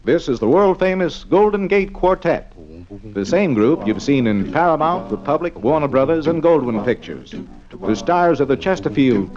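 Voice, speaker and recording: A male radio announcer reads a scripted sales pitch with a lively, swinging delivery, pitched a bit high. The recording is dull and old, with music clearly behind him.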